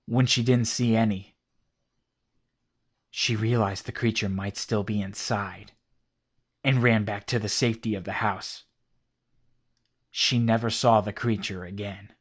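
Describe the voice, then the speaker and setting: A man narrates a horror story in a steady, documentary-like way. His voice sits on the low side. He reads every line as scripted storytelling. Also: measured pace, pauses often. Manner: steady documentary-style telling.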